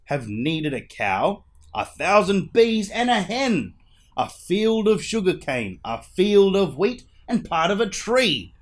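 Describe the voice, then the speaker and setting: Guy reading as a curious little grandson in a kids story, questioning, really lively pitch. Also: slow, full character voice. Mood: proud.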